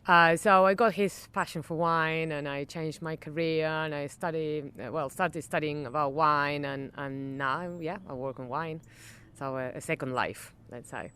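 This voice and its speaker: A middle-aged woman from Argentina whose first language is Spanish, answering interview questions in English with an Argentine accent, pausing often with fillers and restarts. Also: low voice, fairly even intonation, a bit bright, a little hiss or hum. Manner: halting, with many fillers and restarts. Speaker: wine estate general manager. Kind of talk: conversational interview. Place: outdoor garden.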